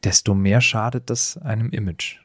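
A man's voice: lowish voice, steady tone, normal speed, a bit bright, slightly rough, no echo, clean studio sound. A man in a conversational podcast discussion, explaining things with emphatic phrasing. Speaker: podcast co-host.

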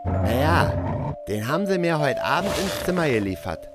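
A man's voice: fairly high pitch, very animated, normal speed. A man playing a naive, self-pitying young miller's son in a fairy-tale audio drama, rambling in colloquial dialect grammar. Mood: matter-of-fact, rambling. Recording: music under the voice. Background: music.

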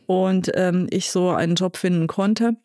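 A woman in her fifties from Croatia with a Croatian accent, answering interview questions thoughtfully with many pauses and fillers. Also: fairly low pitch, steady tone, dark tone, dry recording, clean studio sound. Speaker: guest, nursing director.

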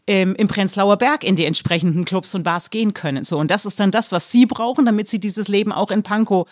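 A woman politician answering interview questions in a measured, explanatory way, pausing often with fillers. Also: mid-range voice. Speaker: politician running for a district seat.

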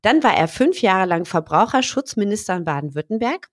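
A woman's voice: medium pitch, sing-song, fairly quick, no room sound. Host: woman, chatty and joking, slight cold, dry close sound. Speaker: podcast co-host. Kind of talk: casual podcast chat.

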